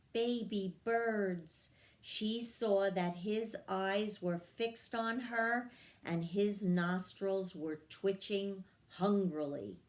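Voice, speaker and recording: A woman reading a children's story aloud in a measured, expressive storytelling manner. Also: fairly low pitch. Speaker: story reader. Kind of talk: scripted children's storybook narration.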